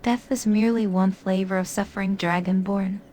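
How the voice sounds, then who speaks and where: A sly, seductive female dark creature from a fantasy game, voiced by a woman, speaks at a middling pitch. She is ancient, cruel and elegantly teasing. Faint game ambience sits behind her. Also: fairly even intonation, normal speed, a little hiss or hum, close to an everyday voice. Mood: sly, sinister. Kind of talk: in-character game companion dialogue.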